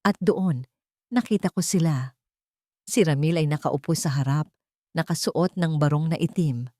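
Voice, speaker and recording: A woman's voice: low-pitched, moderate pace, warm tone, no echo, clean studio sound. A woman reading a scripted, dramatic first-person story as an audio narrator.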